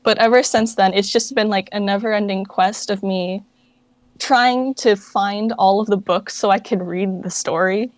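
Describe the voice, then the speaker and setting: A woman's voice: mid-range voice, steady tone, normal speed, dry recording. A young woman speaking as a guest in a casual interview, informal and self-deprecating in the way she talks. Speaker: interview guest, project founder and voice actress.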